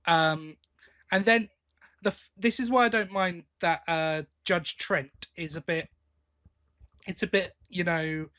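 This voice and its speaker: Man, high voice, casual podcast co-host, enthusiastic, opinionated, stop-start phrasing. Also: slow pace, pushed and bright. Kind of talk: casual chat reviewing audio drama.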